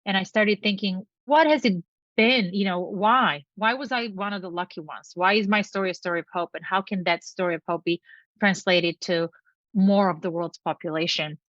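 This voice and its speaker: A middle-aged woman from Bulgaria, a company founder, talks with earnest, thoughtful care as a podcast guest. Her voice reaches us over a video call with a dull, narrow sound and no room echo. It sits at an ordinary pitch for a woman. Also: moderate pace, fairly bright tone. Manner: earnest, reflective, speaks in long lists. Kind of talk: interview conversation.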